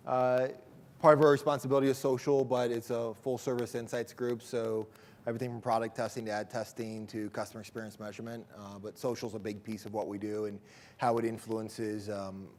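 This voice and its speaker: A man speaks on a conference panel in a flat, businesslike monotone. Faint room noise sits under his voice. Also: medium pitch. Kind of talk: panel self-introduction.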